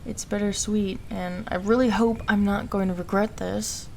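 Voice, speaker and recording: A woman's voice: medium pitch, fairly even intonation, normal speed, creaky, a little hiss or hum, lightly acted. A woman playing an anxious, self-doubting young woman in an audio roleplay, hesitant and emotional, defiant when pushed. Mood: resigned. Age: young adult.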